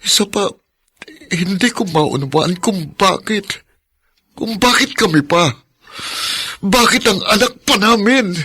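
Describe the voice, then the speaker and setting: A man's voice: high voice, takes it slowly, pauses often, pushed and bright, husky, no room sound, full character voice. A man playing a burdened, apologetic young father in a radio drama, earnest and hesitant in manner. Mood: anguished.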